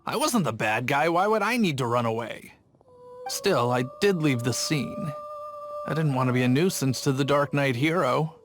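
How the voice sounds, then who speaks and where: A man's voice: mid-range voice, animated, normal speed, lightly acted. An actor playing an earnest young male city gate guard who tells a story in an eager, rambling way, in game cutscene voice acting. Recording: game audio with faint music.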